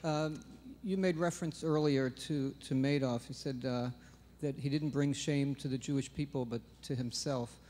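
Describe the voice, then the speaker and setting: A man's voice: mid-range voice, fairly even intonation, normal speed, hardly any pauses, a little mellow, long reverb tail, slight background noise. A man in the audience asking a question, hesitant with many ums, lightly joking at first. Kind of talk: question from the floor.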